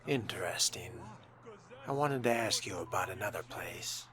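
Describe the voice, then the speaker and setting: A man role-playing a cool, courteous vampire fledgling in a video game, speaking in a measured, dry way with some breathiness. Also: low voice, expressive, fairly bright tone. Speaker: player voicing his vampire character.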